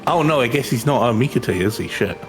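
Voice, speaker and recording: A man's voice: medium pitch, expressive, fast. A man chatting casually as co-host of a game stream. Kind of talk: casual chat. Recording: music in the background. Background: game music.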